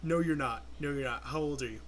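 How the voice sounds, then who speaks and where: A man's voice: mid-range voice, fast talker, fairly bright tone, a little hiss or hum. A nineteen-year-old man in casual, rambling chat, swearing offhandedly.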